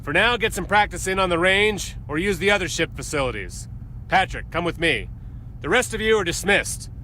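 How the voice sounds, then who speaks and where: An actor playing a stern military captain in a video game, giving a formal, authoritative briefing with clipped delivery. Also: male voice, high voice, animated, normal speed, sharp tone, slight background noise. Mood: stern, commanding.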